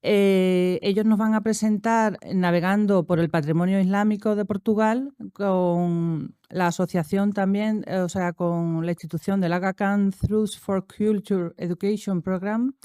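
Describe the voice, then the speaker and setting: A woman's voice: medium pitch, steady tone, unhurried, hardly any pauses, mellow, smooth, no echo, studio quality. A middle-aged woman moderating a conference panel, introducing speakers with many fillers and restarts.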